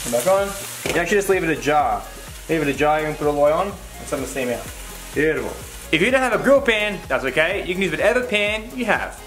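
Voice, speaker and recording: A man's voice: fairly high pitch, lively intonation, fast, fairly bright tone. A young man co-hosting a home cooking video, giving casual step-by-step recipe instructions with playful banter. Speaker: cooking show co-host. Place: home kitchen. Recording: echoey kitchen with background music.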